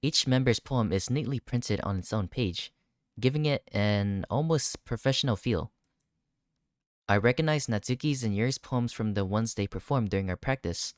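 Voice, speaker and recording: A game streamer with a mid-pitched voice reads a visual novel aloud. The narration and dialogue give way to startled personal reactions. The recording is very dry, with almost no room sound. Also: moderate pace, no room sound. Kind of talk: gaming playthrough, reading visual novel text aloud.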